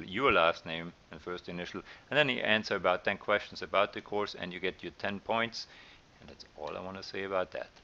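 A middle-aged man with an Austrian accent, talking through course slides as a science teacher in a relaxed way, with many ums and uhs. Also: low-pitched, muffled recording. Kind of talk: recorded slide lecture.

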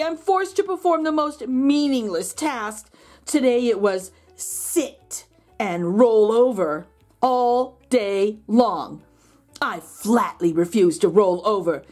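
Storybook dog, male, played by a woman, high-pitched, self-pitying, aggrieved, dramatic, very animated. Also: exaggerated voice. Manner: pleading, aggrieved, dramatic emphasis. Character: indignant, self-pitying, melodramatic male pet dog writing letters. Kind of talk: children's storybook read-aloud.

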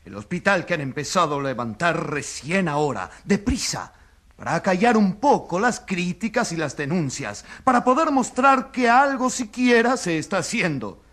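An actor playing an indignant crusading journalist in a radio courtroom drama, accusing a corrupt leader in impassioned, declamatory speech. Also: male voice, sits high, big pitch swings, moderate pace, fairly bright tone, heavily acted. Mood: earnest, accusing. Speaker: accuser in a trial. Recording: crowd clamour behind the voice.

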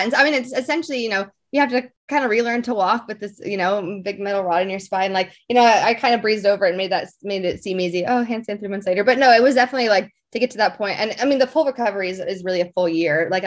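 Young adult woman, medium pitch, interview guest, casual storytelling, informal, rambling, filler-heavy. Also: fast, hardly any pauses. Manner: informal, rambling, frequent "like" and "you know".

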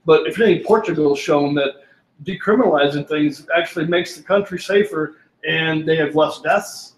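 A man's voice: fairly high pitch, normal speed. A man from the audience making an eager, emphatic point at a panel discussion. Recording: echoey room.

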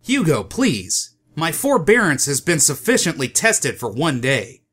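A man playing a fussy, high-strung young bookworm in a comic book dub, prissy and melodramatic. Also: wildly swooping pitch, unhurried, a bit bright, slightly rough, full character voice. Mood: exasperated.

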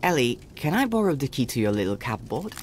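A man's voice: medium pitch, sing-song, brisk, close to an everyday voice. Man voicing an earnest young boy, noisy ambience. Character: young boy Lars, earnest, protective older brother. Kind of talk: scripted game character narration.

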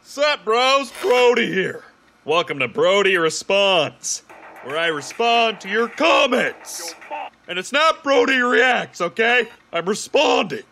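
Male actor as brash cartoon dude, high pitch, slow drawn-out delivery, exasperated, shouty, comedy host. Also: very animated, gravelly, a little hiss or hum, heavily acted. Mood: cocky. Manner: loud, exasperated, bursts into shouting. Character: brash, hot-headed young bro who hosts insult-joke cartoons. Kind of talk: animated comedy hosting.

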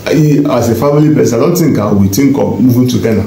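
A man's voice: medium pitch, fast, gravelly, dark tone. A man giving his opinion in casual talk, repeating his points emphatically. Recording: echoey clip with faint noise.

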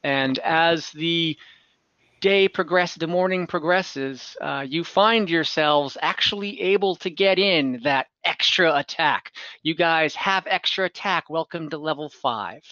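A man narrating an online tabletop game as game master, casual, halting and lightly amused, improvising as he goes. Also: a little high, pushed and bright, dry recording. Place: at home indoors on a group video call. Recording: slightly dull video-call audio.

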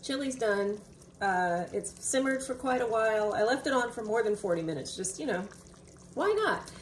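A woman's voice: medium pitch, normal speed. Chatty woman home cook, distant mic. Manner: relaxed, chatty, with fillers. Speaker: home cooking host. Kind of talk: casual cooking narration. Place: home kitchen. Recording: distant mic, noticeable room noise.

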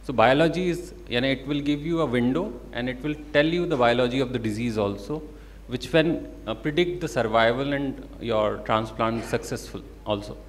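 A man's voice: medium pitch, moderate pace. A man with an Indian English accent answering as a panelist doctor, hesitant with frequent fillers. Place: conference stage.

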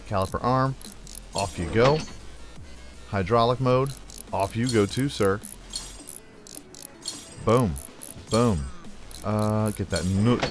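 A man casually commenting on a mechanic game he plays, joking and talking to the parts as he removes them. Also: lowish voice. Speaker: gameplay commentator. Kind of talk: casual let's play commentary.

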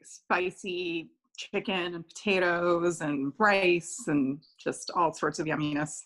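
A young woman from Pakistan, a guest on a casual video-call interview from home, easygoing and quick to laugh. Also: fairly low pitch, steady tone, fairly bright tone. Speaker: guest designer. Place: home room, indoors. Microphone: video call, webcam.

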